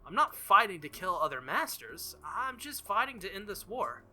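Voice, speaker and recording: A man's voice: high-pitched, very animated, normal speed, bright, forceful tone. A man reading a visual novel aloud as a let's-play host, theatrical and jokey, with casual asides. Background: faint game music.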